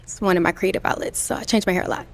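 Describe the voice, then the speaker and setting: A woman contestant on a dating show who explains herself in a soft-spoken way. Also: fairly low pitch, steady tone, very fast.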